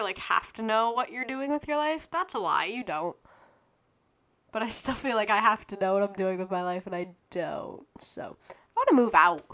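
Bright-voiced young woman rambling. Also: mid-range voice. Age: early 20s. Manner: scattered, self-interrupting, chatty. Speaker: podcast host. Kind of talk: rambling solo podcast chat.

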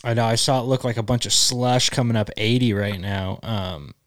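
A man's voice: fairly low pitch, no room sound. Young man, podcast host, easygoing, jokey, dry sound. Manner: easygoing, asks questions, quick jokey asides. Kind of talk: casual chat.

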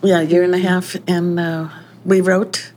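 Older lady telling a story, chatty, laughs a lot, slightly low voice. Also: little pitch movement, measured pace, a little mellow, slight background noise. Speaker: restaurant owner. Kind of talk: casual interview storytelling.

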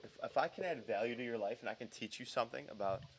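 Young man in relaxed podcast conversation, mid-pitched. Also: fast, a little hiss or hum. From New Jersey, United States. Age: young adult. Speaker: podcast co-host. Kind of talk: casual podcast chat.

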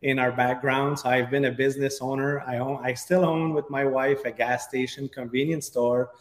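A middle-aged man with a Quebec French accent talks as an interview guest in a conversational, halting way. His voice is mid-pitched. The room rings with clear echo and a long reverberant tail. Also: little pitch movement. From Quebec, Canada. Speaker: podcast guest, real estate agent.

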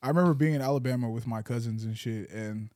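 A man's voice: medium pitch, fast talker, dry recording, clean studio sound. A young man from Michigan with an American accent, chatting casually as a podcast host in profane, slangy language. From Michigan, United States. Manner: slangy, profane, animated storytelling.

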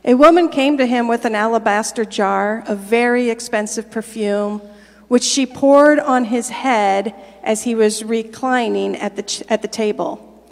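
A woman addresses a congregation directly, using plain speech with the odd filler. Her voice sits a bit high. It echoes through a large church hall. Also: a little slow. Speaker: pastor's wife and interpreter. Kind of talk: church announcements and scripture reading. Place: church sanctuary.

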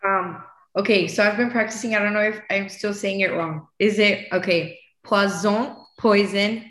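A woman's voice: medium pitch, steady tone, moderate pace, a bit bright. Woman, native Spanish speaker, earnest student, practicing, roomy echo. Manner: earnest, asks for repeats while practicing. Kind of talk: online class conversation.

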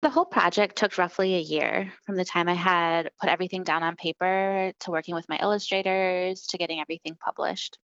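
A woman from Chile with a Midwestern American accent, an author answering interview questions in an earnest, warm storytelling way. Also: fairly low pitch, fairly quick, few pauses, no echo, muffled recording. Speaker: author guest.

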